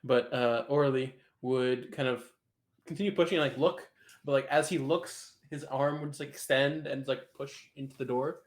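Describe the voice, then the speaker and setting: A man's voice: medium pitch, steady tone, normal speed. A man playing a tabletop game, thinking aloud about what his character does. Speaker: player.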